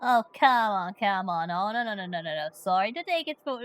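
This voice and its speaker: A woman's voice: medium pitch, lively intonation, fairly quick, a bit bright, clear, resonant tone. A woman from Finland streaming a story game, chatting playfully and reading questions aloud.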